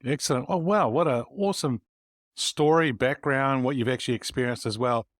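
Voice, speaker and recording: A man's voice: medium pitch, fairly quick, dry recording, studio quality. A man hosting an interview podcast, reacting with enthusiastic affirmation before asking a follow-up question. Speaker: podcast host.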